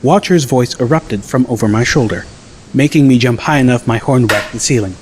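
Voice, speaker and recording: A man narrating an audiobook in the first person, measured and dryly wry. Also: medium pitch, darkish tone, slight background noise. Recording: clear music bed behind the voice.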